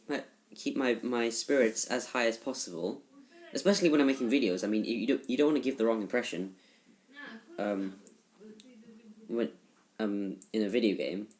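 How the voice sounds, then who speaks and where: A teenage boy giving casual gameplay commentary in a halting, rambling way, full of restarts, recorded in a shared room at home. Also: medium pitch. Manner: subdued, somewhat flat delivery. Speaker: gaming YouTuber.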